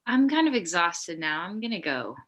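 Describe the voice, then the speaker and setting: Comedy table read, actress as dry teen girl, deadpan, level-headed, clear bright voice. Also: fairly low pitch, moderate pace, muffled recording. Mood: unimpressed.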